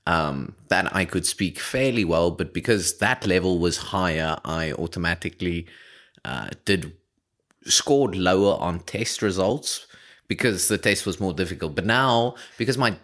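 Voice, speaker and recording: Deep-voiced Afrikaans-speaking man, rambling. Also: unhurried, a bit bright. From South Africa. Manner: rambling, laughing often, many restarts. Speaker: podcast co-host. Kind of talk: casual chat.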